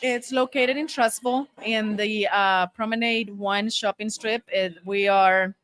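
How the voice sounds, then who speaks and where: A woman's voice: mid-range voice, steady tone, a little slow, a bit bright, clear, resonant tone, no echo. A middle-aged woman from Monterrey, Mexico, a native Spanish speaker, telling her story casually and emphatically as an interview guest. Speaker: guest, taco shop owner.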